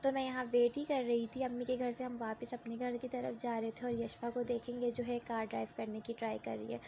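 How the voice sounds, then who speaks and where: A woman's voice: on the high side, monotone, fairly quick, vocal fry, dark tone, a little hiss or hum. A young woman with a sore throat and a cough, chatting through a rambling vlog voice-over. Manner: rambling and conversational, with many tag fillers. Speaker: vlogger.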